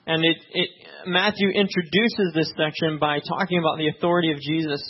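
A male pastor who grew up in Tanzania, opening with a soft, hushed prayer and then preaching in a conversational way, often checking in with "right?". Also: fairly high pitch, steady tone, fairly quick, lo-fi sound.

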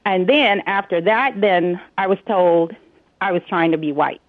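A woman from Jamaica with a Jamaican accent, a guest telling a story quickly. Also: lowish voice, no room sound. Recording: tinny phone line.

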